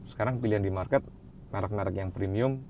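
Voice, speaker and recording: A man answering interview questions as a wine expert, relaxed, slipping English phrases into his speech. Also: lowish voice, fast, a little hiss or hum.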